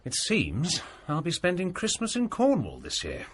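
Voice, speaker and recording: A young actor playing a fussy, bookish scholar in a radio drama, prim and hesitant. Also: male voice, fairly high pitch, wildly swooping pitch, moderate pace, heavy background noise. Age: young adult.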